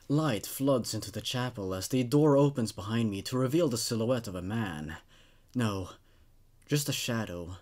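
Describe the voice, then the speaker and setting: A man's voice: on the low side, a little mellow. A man in his twenties, a non-native English speaker, hosting and narrating horror stories into a close studio microphone. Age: 20s. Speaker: YouTube host reading horror stories. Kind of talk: story narration and casual commentary. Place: small dark indoor room. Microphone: studio microphone on a boom arm, close.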